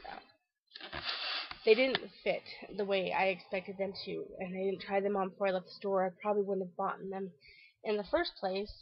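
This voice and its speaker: A woman's voice: mid-range voice, dry recording, highs cut off. A woman with a congested, stuffy nose gives a chatty, rambling video diary update, full of ums and restarts. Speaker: vlogger. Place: covered back deck outdoors.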